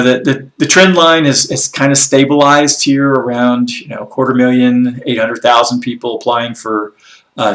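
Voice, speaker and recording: A man's voice: medium pitch, fairly bright tone. A man giving a casual weekly market recap as a financial advisor. He is a little congested and froggy, and he rambles with lots of fillers and blunt asides. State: slightly congested and froggy after a workout. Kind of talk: casual solo commentary on news and markets.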